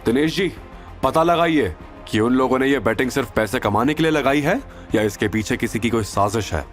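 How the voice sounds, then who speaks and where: A man voicing a calm, decisive young businessman who speaks firmly and briefly. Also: medium pitch, normal speed, soft background music, natural voice. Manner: firm, brief.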